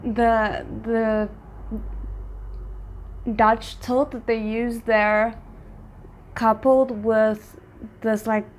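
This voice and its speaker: A woman's voice: on the high side, level tone, very slow, pauses often. A woman hosting a reaction video, talking casually and thinking aloud as she comments.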